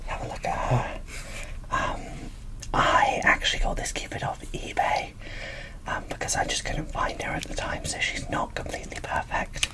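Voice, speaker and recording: A woman speaking in a soft, breathy whisper, showing things off with gushing enthusiasm in an ASMR style. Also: moderate pace, poor audio. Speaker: host of an ASMR doll unboxing channel. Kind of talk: whispered ASMR show-and-tell.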